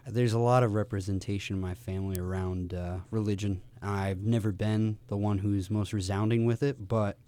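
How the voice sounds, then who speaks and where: A man's voice: lowish voice, steady tone, normal speed, few pauses, mellow. A man speaking casually as a guest being interviewed on a radio show.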